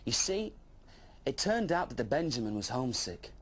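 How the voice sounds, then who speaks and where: A man's voice: medium pitch, big pitch swings, moderate pace, slightly rough. A man voicing an eager, wide-eyed young dragon who tells his adventure with childlike wonder in a children's storybook. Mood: eager, amazed.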